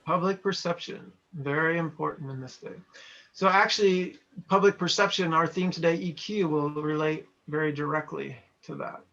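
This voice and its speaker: Bright-voiced man on a lo-fi call. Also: on the high side, moderate pace. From Montana, USA. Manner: chatty, reads chat messages aloud and comments. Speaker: trainer. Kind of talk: casual chat with online participants. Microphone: video call. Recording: lo-fi video call audio.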